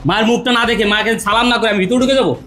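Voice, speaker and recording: A man's voice: high-pitched, fairly even intonation, very fast, bright, forceful tone, soft background music. A man playing a devoted son back from abroad. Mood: insistent. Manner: insistent, pleading.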